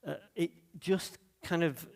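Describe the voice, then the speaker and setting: A man's voice: a little high, fairly even intonation, slow, gravelly, long reverb tail. A man with a Manchester accent giving a conference talk in a loose, chatty way, with many fillers and restarts. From Manchester, England. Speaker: conference speaker.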